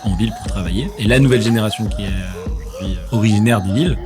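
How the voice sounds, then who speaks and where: A man in his thirties with a lowish voice talks through an easygoing studio interview. He keeps pausing on fillers, restarting phrases and circling back before landing his point. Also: music in the background. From southwest France. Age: 30s. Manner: hesitant, many fillers and restarts. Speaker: guest, company founder. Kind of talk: casual conversational interview. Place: podcast studio.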